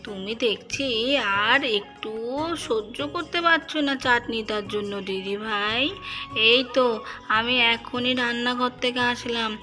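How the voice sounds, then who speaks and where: A woman voicing a doting, chatty old grandmother in a cartoon, coaxing with drawn-out endearments. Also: fairly high pitch, voice pushed down, close to an everyday voice.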